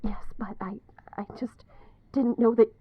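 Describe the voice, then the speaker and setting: A woman's voice: fairly high pitch, steady tone, unhurried, breathy edge, narrow, tinny audio. A young woman playing a guarded, preoccupied woman in an audio drama, speaking hesitantly. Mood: nervous.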